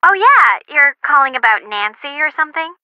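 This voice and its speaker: A woman's voice: sits high, sing-song, brisk, pushed and bright, no room sound, lo-fi sound, heavily acted. A woman playing a spoiled, dismissive young woman gossiping on the phone in a video game. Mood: snide. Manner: sarcastic, dismissive.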